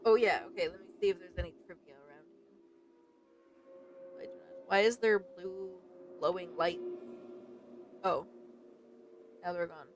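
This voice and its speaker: A woman's voice: on the low side, a little slow, pauses often, a little hiss or hum. A young woman doing casual let's play commentary, reacting informally and reading on-screen text aloud. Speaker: streamer playing and reacting to a game.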